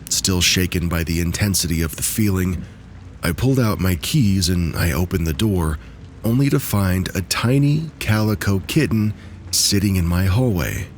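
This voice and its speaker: Low-voiced man narrating eerie tales. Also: slight background noise. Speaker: narrator of submitted stories. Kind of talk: scripted narration of true spooky stories.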